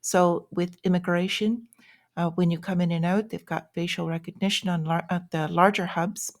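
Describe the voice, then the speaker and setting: A woman hosting an informal market news update, explaining things in short, measured phrases with small pauses. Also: lowish voice, fairly even intonation. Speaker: host of a daily finance update show.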